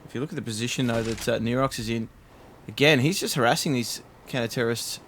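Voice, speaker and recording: A man casting an esports match live, steady play-by-play with frequent fillers. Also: mid-range voice, fast talker, slight background noise. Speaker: esports caster.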